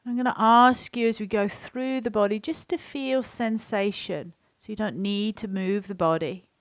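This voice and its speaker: A woman with a mid-pitched voice leads a guided body scan as a yoga and meditation teacher. Her delivery is gentle and soothing, unhurried and spaced with pauses. The sound is dry, with very little room around it. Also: fairly even intonation, dry recording.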